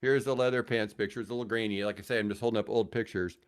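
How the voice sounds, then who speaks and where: A man's voice: on the low side, steady tone, very fast, clear, resonant tone. A man in his sixties with an American accent, chatting casually and telling stories as a podcast guest, with a wry, self-mocking, joking manner. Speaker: podcast guest, veteran radio host and guitarist. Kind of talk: casual storytelling chat.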